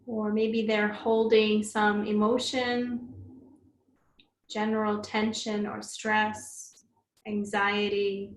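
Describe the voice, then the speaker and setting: A woman leading a guided meditation as a teacher, speaking gently and soothingly, unhurried, with long pauses. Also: mid-range voice, level tone. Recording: roomy echo, faint background noise.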